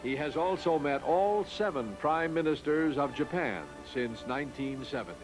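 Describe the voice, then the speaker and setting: A man's voice: a little high, lively intonation, low-quality recording. Formal male narrator over music. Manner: measured, formal announcer delivery. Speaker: documentary narrator. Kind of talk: scripted narration.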